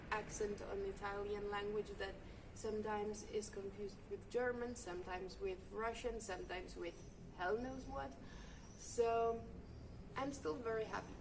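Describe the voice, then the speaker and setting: A young woman from Lithuania, reflecting thoughtfully on her volunteering work in fluent but English. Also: medium pitch. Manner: fluent, thoughtful, non-native phrasing. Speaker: volunteer project coordinator. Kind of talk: reflective interview answers. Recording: distant mic with noticeable background noise.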